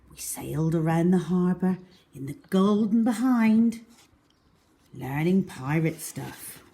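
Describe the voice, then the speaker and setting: A woman's voice: on the low side, animated, slow, dark tone. A woman storyteller reading a children's diary-style story aloud with expression.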